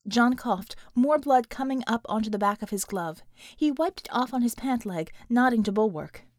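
A woman's voice: a little high, lively intonation, moderate pace, no echo, clean studio sound. A woman reading dramatic action fiction as an audiobook narrator, in a scripted storytelling style.

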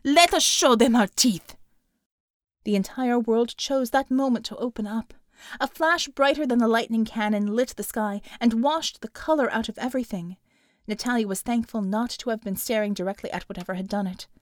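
A woman's voice: fairly high pitch, brisk, darkish tone, no echo. A woman narrating a scripted dramatic action story as an audiobook reader.

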